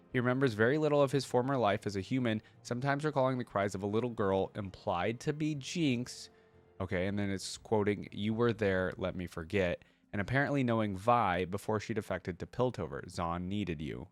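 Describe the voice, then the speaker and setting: A man hosting a reaction video, thinking aloud in casual, conversational commentary and sometimes reading from web pages. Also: fairly low pitch. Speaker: reaction video host and streamer.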